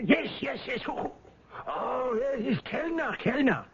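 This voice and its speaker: An actor playing a vain, muddled old physicist in a radio drama, with a thin quavering voice, stammering and repeating words. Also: male voice, extremely high pitch, big pitch swings, slow pace, crowd noise, heavily acted. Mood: befuddled, eager. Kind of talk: 1970s radio drama acting. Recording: dull, lo-fi old radio broadcast.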